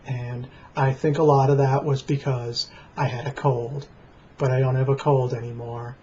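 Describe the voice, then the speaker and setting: An American man rambling casually and deadpan to camera in a plain, flat voice. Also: medium pitch, moderate pace. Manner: deadpan, dry. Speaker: vlogger. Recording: dull lo-fi webcam sound, faint noise.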